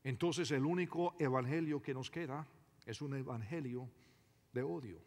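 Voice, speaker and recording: A man preaching a sermon as a pastor, emphatic and deliberate, often repeating his phrases. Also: mid-range voice, darkish tone. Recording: reverberant hall with long echo.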